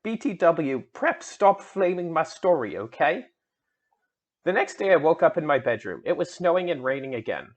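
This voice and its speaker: A man's voice: a little high, sing-song, moderate pace, voice pushed up. A man voicing a melodramatic teenage goth vampire schoolgirl in an emphatic, petulant dramatic fan fiction reading. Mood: petulant, pleading, then earnest, dramatic. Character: melodramatic seventeen-year-old goth vampire schoolgirl narrator.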